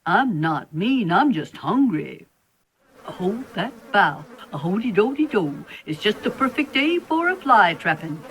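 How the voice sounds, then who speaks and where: A woman's voice: medium pitch, very animated, unhurried, a bit bright, slight background noise, rough recording. A woman playing a whimsical, hungry spider in a children's storybook play, with a sing-song manner and nonsense catchphrases. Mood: plaintive, hungry. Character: hungry, whimsical spider hunting flies, insists it isn't mean.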